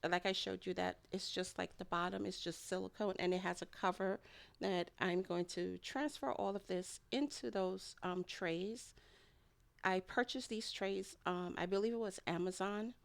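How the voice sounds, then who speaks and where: A woman's voice: medium pitch, measured pace, vocal fry. A woman narrating a homemade food vlog in a casual, rambling way, often with a light chuckle in her talk. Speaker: home food and garden vlogger. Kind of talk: casual voice-over narration of a kitchen process.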